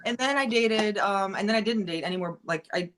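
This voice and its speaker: A woman's voice: mid-range voice, fairly quick, a bit bright, slight background noise. A woman from the United States, a guest in a casual podcast chat, talking candidly in a rambling way full of "like". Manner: rambling and candid, lots of "like" and restarts.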